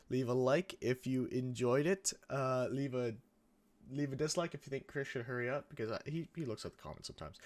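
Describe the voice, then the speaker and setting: A young man hosting a gaming channel, chatting casually over gameplay in a rambling way with lots of restarts, his voice a bit croaky from coming down with a cold. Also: medium pitch. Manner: rambling, many restarts and fillers. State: getting sick, a bit croaky.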